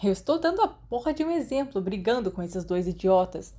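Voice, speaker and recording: A woman's voice: mid-range voice, very animated, brisk, warm tone. A woman with a Brazilian accent voicing a brash, foul-mouthed, indignant teenage boy in an audiobook story.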